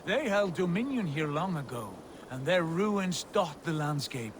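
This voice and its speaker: An actor playing a proud, commanding Viking jarl in a video game, speaking in a stately, declamatory way. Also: male voice, on the high side, very animated, a little slow, noisy background. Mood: reflective. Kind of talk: cinematic video game acting.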